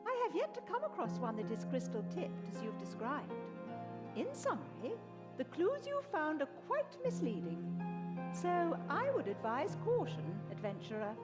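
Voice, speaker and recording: A woman's voice: high-pitched, wildly swooping pitch, normal speed, over loud music. A woman playing a proper, easily affronted wizard scholar in a fantasy video game, speaking formally and precisely. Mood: cautious, measured. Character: esteemed female wizard of Saradomin, proper and indignant scholar.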